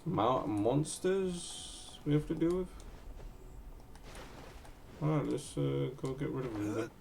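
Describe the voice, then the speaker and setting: A game streamer giving casual, laid-back commentary and chatting back to the characters on screen in a creaky voice. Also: medium pitch, slow pace, a little mellow, a little hiss or hum.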